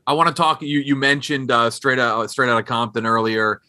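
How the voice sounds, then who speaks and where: A man's voice: mid-range voice, normal speed, sharp tone. A man hosting a casual podcast interview, chatty, asking long questions that restart and circle around.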